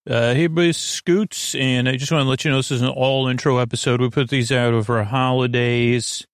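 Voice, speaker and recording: An American man with a creaky voice, hosting a bedtime podcast in a lulling, rambling, hesitant way, full of filler words and tangents. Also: mid-range voice, fairly even intonation, moderate pace, no room sound, clean studio sound. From United States. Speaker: podcast host.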